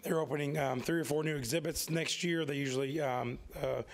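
Creaky man with a cold, halting. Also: on the high side, steady tone. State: has a cold, losing his voice. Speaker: district council member. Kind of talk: halting public remarks to a board. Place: indoor meeting room. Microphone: podium microphone.

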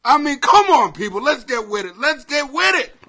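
A middle-aged American man hosting a comedy podcast in a brash, profane, excitable rant that often rises to shouting. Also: very high-pitched, normal speed. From Greenwich, Connecticut, United States. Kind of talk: ranting comedy podcast monologue.

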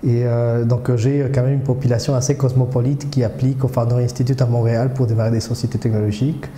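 A man's voice: medium pitch, flat delivery, quick pace, dark tone. A man in his forties from South America, giving hesitant but earnest interview answers full of fillers, seated in a small studio with a lapel mic. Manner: hesitant, many fillers and restarts; earnest and persuasive, gestures with hands. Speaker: startup accelerator director. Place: small indoor studio, seated before a screen. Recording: roomy echo.

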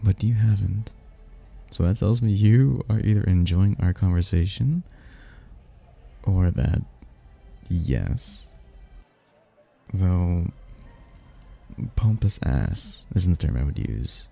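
A man's voice: low-pitched, expressive, slow pace, vocal fry, long pauses, mellow, faint music behind, heavily acted. A man playing a charming, teasing mysterious stranger at a royal ball in a romantic ASMR roleplay, speaking in a playful, flirtatious way.

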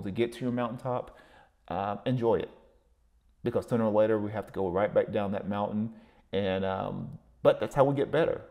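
A man's voice: mid-range voice, mellow. A man hosting a podcast, giving a solo motivational talk in a rambling way, with many fillers and repeated words. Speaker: podcast host.

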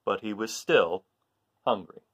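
A middle-aged man reading a children's storybook aloud as host, in short deliberate phrases with expressive emphasis on key words. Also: lowish voice, breathy edge. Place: small room at home, indoors.

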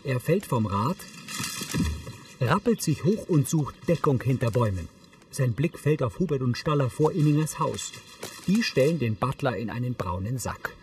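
A man's voice: medium pitch, lively intonation, moderate pace, distant mic, noisy background. A man reading scripted audio description, narrating on-screen action in the present tense.

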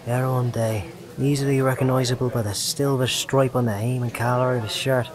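An actor playing a smooth, intimidating secret agent in a science fiction audio drama. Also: male voice, medium pitch, fairly even intonation, brisk, lightly acted. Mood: suave. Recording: clear crowd murmur behind.

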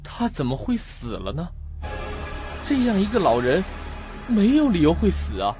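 A man's voice: high-pitched, huge pitch swings, normal speed, darkish tone. An actor playing a troubled, protective young male student in an audio drama, on a lo-fi recording. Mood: troubled, brooding. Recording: dull lo-fi recording with music.

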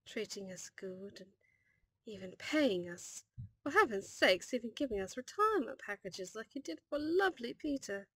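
A woman's voice: on the high side, big pitch swings, normal speed, a bit bright, slightly rough, no echo, heavily acted, poor audio. A woman voicing a kindly, fussy older female servant who gushes and teases in an ASMR audio roleplay. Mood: fond, chatty. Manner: gushing, motherly teasing, exclamatory.

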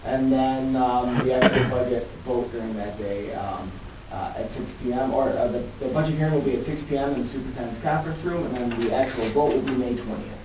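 A man of average pitch gives a formal, procedural presentation as a budget official, halting as he goes. His voice comes from a distance in an echoey room with faint noise.

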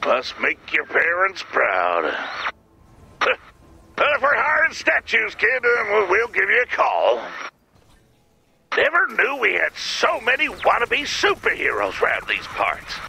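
A folksy old video game character with an American Western drawl. He is wry and hemming, his high voice extremely gravelly and raspy, swinging up and down. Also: wildly swooping pitch, takes it slowly, pushed and bright, slight background noise, exaggerated voice. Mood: wry amusement. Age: older. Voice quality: raspy, creaky.

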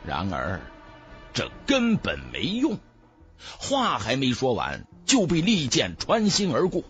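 A man narrating an audiobook in a dramatic, theatrical, expressive storyteller's manner. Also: medium pitch, takes it slowly, faint music behind.

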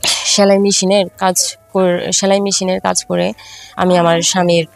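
Flat-toned Bangladeshi woman, halting storyteller. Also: on the low side, gravelly, faint music behind. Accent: Bangladeshi Bengali. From Faridpur, Bangladesh. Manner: halting, repetitive, with frequent filler phrases. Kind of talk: first-person life story narration.

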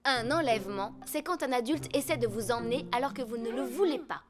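A caring female teacher voiced by an actress with a high voice, explaining clearly to children. It is a cartoon dub with music behind her. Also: fairly quick, close to an everyday voice. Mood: instructive. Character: caring, instructive female schoolteacher.

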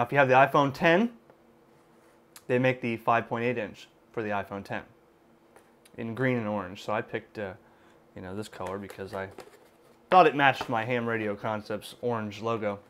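A man's voice: mid-range voice, fairly bright tone. A man presenting a hands-on product demonstration in an informal, conversational, hedging way, recorded indoors at a desk. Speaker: amateur radio video host and product reviewer. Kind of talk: casual unboxing and product demonstration.

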